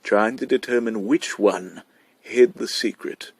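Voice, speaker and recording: A man's voice: lowish voice, measured pace, slightly rough, no room sound. A man reading a short story aloud as scripted narration. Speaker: amateur story reader.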